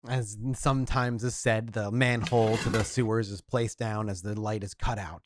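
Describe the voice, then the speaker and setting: A man's voice: on the low side, fairly even intonation, normal speed. A man narrating as storyteller of a tabletop game, setting scenes in detail.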